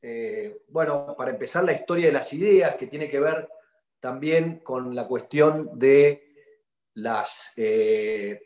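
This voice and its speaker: A man hosting an interview, talking haltingly with many fillers and repeated words. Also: medium pitch, darkish tone, roomy sound, a little hiss or hum. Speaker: interviewer host. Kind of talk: hesitant conversational interview.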